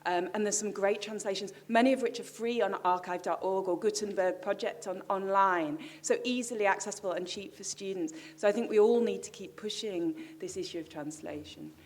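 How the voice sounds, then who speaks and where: A woman's voice: mid-range voice, a little fast, hardly any pauses. Brief: woman, panel academic, earnest, explanatory. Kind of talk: panel discussion. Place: large festival stage.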